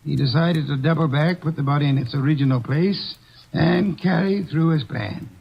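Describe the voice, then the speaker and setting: An actor playing a portly, unflappable older detective who speaks in a measured, pompous way, in 1940s radio acting. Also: male voice, a little high, creaky, slightly rough. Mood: smug. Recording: dull old radio broadcast recording.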